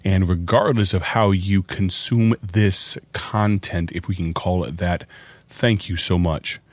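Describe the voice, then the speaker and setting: Male radio evangelist, very low voice, a bit hoarse, dry close sound. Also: fairly even intonation, unhurried, dry recording. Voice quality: raspy. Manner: earnest, grateful, conversational. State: hoarse from preaching at camp. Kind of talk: warm radio sermon introduction.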